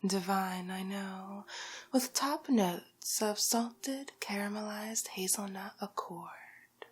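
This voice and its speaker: A woman playing a haughty, vain, glamorous villainess in an ASMR roleplay, whispering breathily and condescendingly with drawn-out endearments. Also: medium pitch, breathy edge. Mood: haughty, indulgent.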